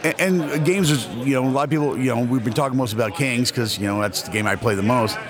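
A man's voice: mid-range voice, fast. A man in his fifties, a store owner chatting casually in an interview, rambling and repeating words as he starts sentences. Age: 50s. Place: convention hall. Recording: busy convention crowd behind.

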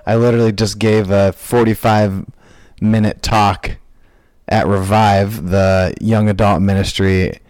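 A man in his late twenties co-hosts a podcast in easygoing, joking conversation. His voice sits fairly low, and the recording is dry and close. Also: little pitch movement, unhurried, darkish tone, no echo. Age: 20s. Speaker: podcast co-host. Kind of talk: casual podcast chat.

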